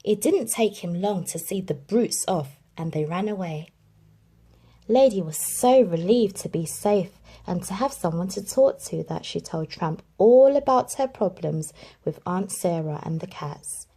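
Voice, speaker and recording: A woman reading a children's picture book aloud, telling the story expressively with dramatic pauses. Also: on the low side, animated, mellow, slightly rough. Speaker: narrator.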